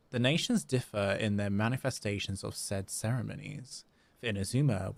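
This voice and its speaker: A man's voice: fairly low pitch, gravelly, a little mellow. A man chatting casually and playfully as he livestreams a video game, sometimes reading dialogue aloud. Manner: playful, chatty, repeats phrases. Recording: game music behind the voice. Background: video game music.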